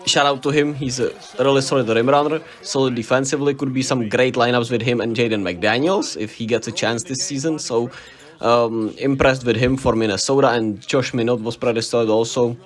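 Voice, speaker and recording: Young man, Czech accent, casual sports talk, enthusiastic, rambling, middle pitch. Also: fairly even intonation, brisk. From Czech Republic. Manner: enthusiastic, rambling, self-correcting on names. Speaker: basketball vlogger. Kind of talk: casual unscripted sports recap.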